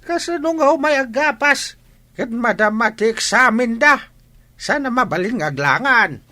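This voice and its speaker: High-pitched, scolding old grandfather. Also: lively intonation, normal speed, pushed and bright, exaggerated voice. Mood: blunt, insistent. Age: older. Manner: blunt, scolding. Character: scolding, blunt old grandfather, a country farmer. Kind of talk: regional radio drama acting.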